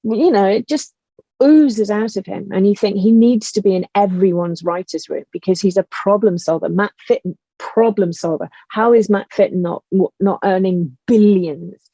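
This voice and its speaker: A woman's voice: medium pitch, moderate pace, dark tone, no room sound, muffled recording. A woman guest writer talking casually and passionately, emphatic and full of exasperated rhetorical questions. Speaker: guest, audio drama writer.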